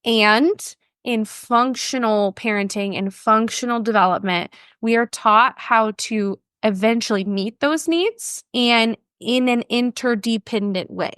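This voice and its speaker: An American woman, a therapist guest on a podcast, explaining ideas in an informal, animated conversational way. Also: fairly high pitch, measured pace, hardly any pauses, clear, resonant tone, dry recording, clean studio sound. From New Orleans, United States.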